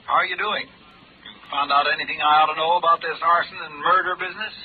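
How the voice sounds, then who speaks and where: An actor playing an anxious, hesitant husband in an old-time radio drama, speaking in a fretful, halting way. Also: male voice, a little high, steady tone, phone-quality sound, a little hiss or hum, natural voice. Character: anxious, hesitant husband, an ordinary office man. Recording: thin, band-limited old radio recording.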